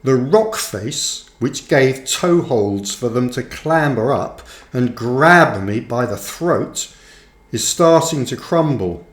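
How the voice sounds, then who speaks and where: A man reading his own book aloud as scripted narration, with measured, deliberate phrasing. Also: mid-range voice, lively intonation. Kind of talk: audiobook-style scripted narration. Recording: roomy, echoing sound.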